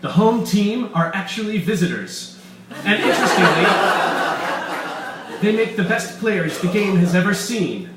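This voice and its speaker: A man's voice: sits high, lively intonation, unhurried, voice pushed up. Villain for live story reading: man playing pompous, gloating evil genius, theatrical and boastful, echoey room. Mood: gleeful. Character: gloating male mad-scientist villain, grandiose schemer. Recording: roomy echo, distant mic, faint room noise.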